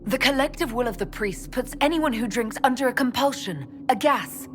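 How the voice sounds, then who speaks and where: A woman voice actor playing a resolute, wary leader in a fantasy video game, speaking earnestly and deliberately. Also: a little high, lively intonation, noisy background, natural voice. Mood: firm, concerned. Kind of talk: video game dramatic voice acting.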